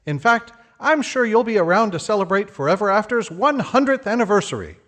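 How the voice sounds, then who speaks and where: A man playing an earnest, formal announcer in an old-time radio comedy, husky-voiced and flattering. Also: high voice, brisk. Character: Harlow Wilcox, polished, earnest 1940s radio announcer.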